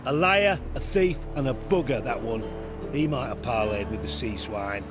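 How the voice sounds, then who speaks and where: An actor playing a gruff, cynical male boat captain. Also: mid-range voice, animated, normal speed, over music, lightly acted. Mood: curt. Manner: curt and dismissive.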